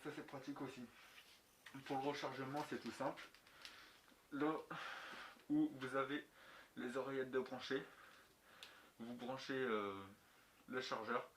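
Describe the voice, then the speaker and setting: A man's voice: mid-range voice, level tone, unhurried, pauses often, fairly bright tone. A man giving a casual, unscripted product review in colloquial, slangy speech with some mild swearing. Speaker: motovlogger reviewing gear. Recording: echoey room, clicks and clear background noise.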